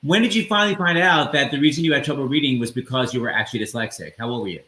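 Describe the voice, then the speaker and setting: Man, middle-aged co-host, bright forceful voice, roomy echo, earnest conversational questioning. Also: mid-range voice, very fast. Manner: emphatic, earnest. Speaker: interviewer, co-host. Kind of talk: conversational interviewing. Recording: echoey room.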